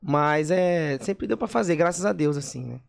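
A man's voice: a little high, animated, moderate pace, creaky. A man who sings in a duo, speaking in an easygoing way and often ending sentences with "né" in a casual interview. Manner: easygoing, lots of "né" tags. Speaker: singer in a duo.

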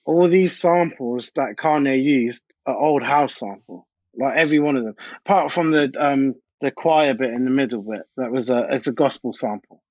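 A man's voice: mid-range voice, moderate pace, few pauses, a little mellow, no room sound, muffled recording. A man, a DJ and producer, chatting casually in an interview and repeating himself for emphasis. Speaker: DJ and music producer being interviewed. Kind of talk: casual interview chat, anecdotal.

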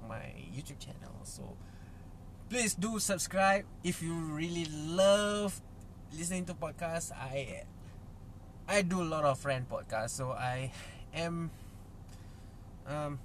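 Slow, halting Malaysian man. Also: on the high side, animated, slight background noise. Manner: halting, many fillers and restarts, chuckles. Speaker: podcast host. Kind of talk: casual solo podcast intro.

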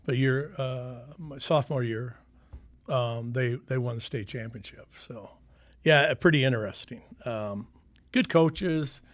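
A man's voice: medium pitch, unhurried, dry recording, clean studio sound. A retired sheriff in his sixties from Sioux City, chatting easily about old times with lots of fillers. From Sioux City, Iowa. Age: 60s. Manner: reminiscing, easygoing, trails off with fillers. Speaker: retired county sheriff, guest. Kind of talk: casual interview chat.

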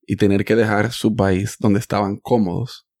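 A man from the Dominican Republic hosting a podcast interview, calmly affirming his guest and finishing her thoughts. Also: fairly low pitch, normal speed, dry recording, studio quality. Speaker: podcast host. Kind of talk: casual interview conversation.

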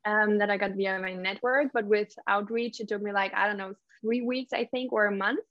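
A woman's voice: medium pitch, fairly even intonation, normal speed, no room sound. Woman guest on dull, dry audio. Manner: thoughtful, hesitant with many ums. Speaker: interview guest. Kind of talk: casual interview chat.

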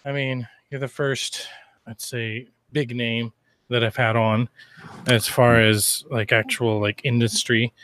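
A man's voice: medium pitch, flat delivery, takes it slowly, fairly bright tone. An American man from Idaho hosting a podcast in a rambling, informal, chatty way. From Idaho, United States. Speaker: podcast host. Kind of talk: casual chat.

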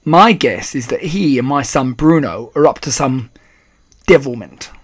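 A man's voice: mid-range voice, expressive, a little slow. A man voicing an angry, blustering middle-aged English father in a storybook, rude and spluttering. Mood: angry, suspicious. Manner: rude, shouting, spluttering, stammering when shocked.

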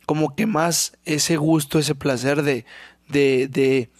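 A young man with a Mexican accent hosting a casual solo podcast, hoarse from a sore throat, rambling and swearing freely and clearing his throat often. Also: on the high side, fairly even intonation, takes it slowly, no room sound, clean studio sound. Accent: Mexican Spanish. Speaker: podcast host.

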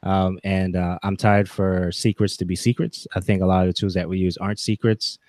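A man in his forties talking reflectively as a podcast guest, with halting, deliberate phrasing. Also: low voice, level tone, darkish tone, dry recording, clean studio sound. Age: 40s. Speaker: podcast guest, gaming content creator. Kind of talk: reflective interview talk.

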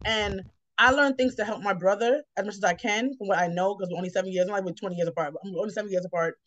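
Fast-talking New woman, slightly dull recording. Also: medium pitch, fairly bright tone. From New York, United States. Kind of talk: casual reflective conversation. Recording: slightly dull, lo-fi, dry sound.

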